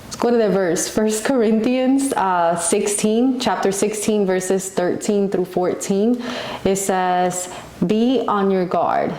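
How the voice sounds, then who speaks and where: A woman's voice: mid-range voice, slow, warm tone. A woman co-hosting a podcast, speaking in an earnest, reflective, conversational way about faith. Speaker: podcast co-host. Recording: echoey room.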